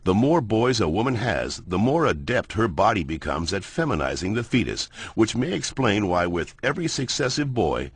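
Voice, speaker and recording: A man playing a genial, wry science narrator in an educational cartoon, explaining in measured phrases. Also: lowish voice, big pitch swings, husky, dry recording, heavily acted. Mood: measured, authoritative. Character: genial male science narrator moderating a debate. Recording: music behind the voice.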